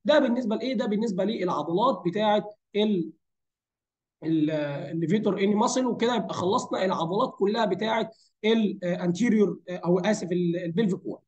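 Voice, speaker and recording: A man's voice: high voice, fast, a little mellow, dull audio. A man with an Egyptian Arabic accent and a tired, strained voice gives an informal tutorial, mixing in English medical terms and answering his own questions. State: hoarse, strained voice from fatigue. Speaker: anatomy lecturer. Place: voice-over for a screen recording.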